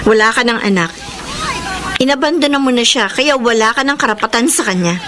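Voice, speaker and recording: A Filipino actress playing a feisty, expressive young mother and former market vendor in a radio drama. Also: a little high, brisk, lightly acted. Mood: indignant. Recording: noisy background ambience.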